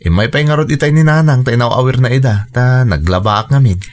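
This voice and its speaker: A man's voice: medium pitch, a little fast, natural voice. A man playing a patient, devoted young husband and father in a radio drama, earnest and reassuring. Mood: easygoing, earnest. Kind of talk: Ilocano radio drama acting.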